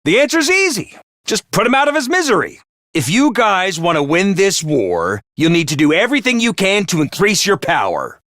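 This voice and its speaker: A man voicing a peppy, bossy little mascot commanding officer in a video game, with a high cartoonish voice and theatrical, jaunty drill-sergeant delivery. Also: wildly swooping pitch, normal speed, pushed and bright, no room sound, studio quality, full character voice. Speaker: voice actor for a video game character. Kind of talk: animated video game voice acting.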